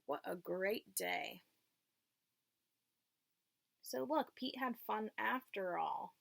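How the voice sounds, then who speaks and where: A woman's voice: medium pitch, extremely slow, creaky. A woman reading a picture book aloud to young children, teacher-like, in simple phrases and checking in with questions.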